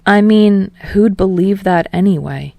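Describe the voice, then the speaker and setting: A woman's voice: mid-range voice, a little slow, vocal fry, warm tone, dry recording. A woman voicing an easygoing, earnest young man who talks in a casual, rambling way in a story reading. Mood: amused disbelief.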